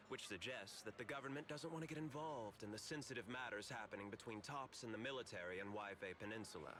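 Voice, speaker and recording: An actor playing a smooth, courteous male company manager in a video game, speaking in a formal, diplomatic manner. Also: mid-range voice, noisy background, lightly acted. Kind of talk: scripted video game voice acting.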